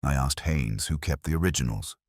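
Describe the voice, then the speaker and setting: A middle-aged man reading a scripted first-person detective story in a measured, brooding manner, with deliberate pauses. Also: rumbling low, no room sound, studio quality. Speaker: narrator.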